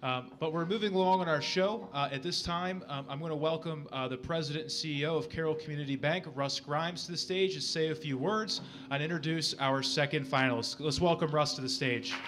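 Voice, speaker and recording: A man's voice: on the high side, brisk. Upbeat male emcee. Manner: upbeat, informal. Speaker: event host. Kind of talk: emceeing a live event.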